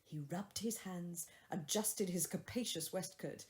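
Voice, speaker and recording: Expressive woman narrating classic fiction. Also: lowish voice, a little slow. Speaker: audiobook narrator. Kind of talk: expressive scripted literary narration.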